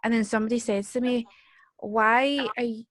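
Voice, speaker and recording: A woman's voice: fairly high pitch, steady tone, normal speed. Chatty young woman. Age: 20s. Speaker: host. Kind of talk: casual group interview.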